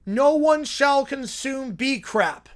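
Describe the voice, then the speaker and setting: A man voicing a solemn male divine figure in a silly story, speaking in slow, grave pronouncements. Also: very high-pitched, expressive, voice pushed up, full character voice.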